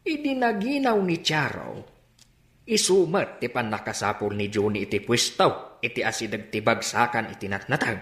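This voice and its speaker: A man's voice: mid-range voice, expressive, normal speed, a bit bright, roomy sound. An actor playing a chatty man sharing family news in a radio drama. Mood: explaining.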